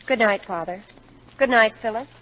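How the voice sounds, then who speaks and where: A woman's voice: a little high, normal speed, natural voice. A woman playing a polite, restless young daughter in a 1950s radio drama. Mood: strained politeness. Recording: dull, faintly noisy old radio broadcast.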